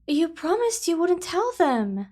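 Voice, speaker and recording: A woman's voice: very high voice, dark tone, full character voice. A woman voicing an obsessive, protective yandere sibling in an ASMR roleplay, speaking with earnest, over-the-top devotion. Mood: embarrassed. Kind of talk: scripted ASMR roleplay addressed to the listener.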